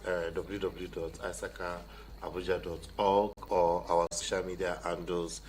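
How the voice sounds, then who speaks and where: A Nigerian man with a fairly low voice talks as a guest in a radio studio. He explains step by step, listing items and padding with "you know". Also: flat delivery, normal speed, a little mellow, a little hiss or hum, low-quality recording. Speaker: guest, competition organiser. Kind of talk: explanatory radio interview talk.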